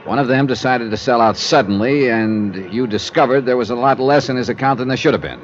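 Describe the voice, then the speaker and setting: An actor playing a confident insurance investigator, breezy, wry and matter-of-fact, in 1950s radio drama style. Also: male voice, medium pitch, brisk, a little hiss or hum, lightly acted. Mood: businesslike. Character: brisk, confident male freelance insurance investigator. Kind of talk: 1950s radio drama acting and first-person narration. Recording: muffled old radio recording with music.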